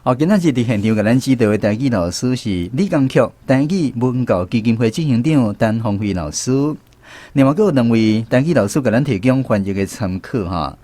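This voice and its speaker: A man's voice: mid-range voice, animated, brisk, dark tone, dry recording. Taiwanese man hosting a radio lesson. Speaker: radio show host. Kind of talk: hosting a language lesson segment.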